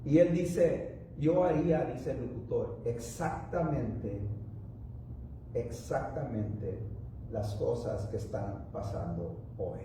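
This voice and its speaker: A man's voice: mid-range voice, heavy reverb, far from the mic, noisy background. A man preaching a sermon, a native English speaker who halts and restarts often as he speaks. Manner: rambling, halting with many restarts and fillers. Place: church.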